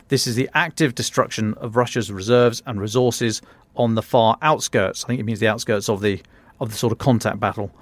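A man's voice: mid-range voice, no room sound. British guy doing a news podcast briefing, dry close sound, informal and a bit wry. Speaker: podcast host and defence journalist. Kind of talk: news briefing, semi-scripted with conversational asides.

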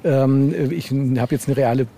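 A man speaking as a novelist being interviewed, telling anecdotes casually in a halting way with repeated words and frequent question tags. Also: mid-range voice, mellow, a little hiss or hum.

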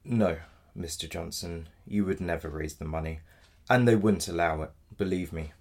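An actor in an audio drama playing a polite but blunt defence solicitor, gravelly and measured in his delivery. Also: low-pitched. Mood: blunt, resigned. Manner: measured, matter-of-fact, formally polite. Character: apologetic but blunt male defence solicitor in dystopian future.

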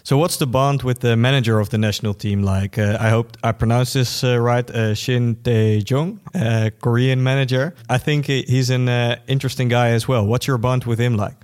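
Man, interviewer, mid-pitched voice, friendly questions, many uhs. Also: steady tone, moderate pace, hardly any pauses, darkish tone.

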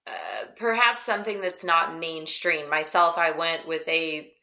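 A woman's voice: low voice, fairly even intonation, measured pace, sharp tone. A woman hosting a casual podcast chat, keeping the conversation on track and moving to the next topic. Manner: organizes the talk, steers to the next topic. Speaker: podcast host.

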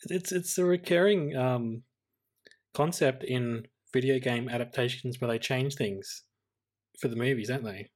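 A man's voice: mid-range voice, measured pace. A man co-hosting a casual film review chat in a thoughtful, slightly tentative way. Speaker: podcast co-host.

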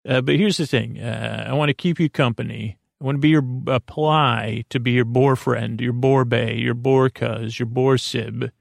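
Creaky-voiced man rambling softly for sleep. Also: medium pitch, fairly even intonation, dry recording, clean studio sound. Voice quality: creaky, gravelly. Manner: meandering, hesitant, repeats and stumbles over words; lulling, gentle, drawn-out delivery. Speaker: sleep podcast host. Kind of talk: rambling, soothing bedtime monologue. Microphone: close microphone.

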